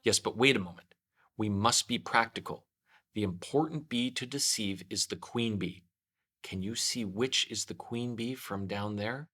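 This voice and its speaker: Storybook toy bear voiced by a man: earnest, a bit dim, deliberating gravely, bright-toned. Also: fairly low pitch, unhurried. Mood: practical, earnest. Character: earnest, scheming, slightly foolish male toy bear. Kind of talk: children's storybook read-aloud.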